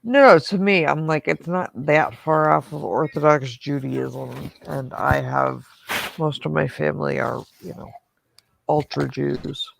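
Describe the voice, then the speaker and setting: A woman hosting a casual stream chat, telling stories and quoting herself in quick asides. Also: deep voice, gravelly, a little hiss or hum, poor audio. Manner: chatty storyteller, quotes herself in asides. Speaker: stream host.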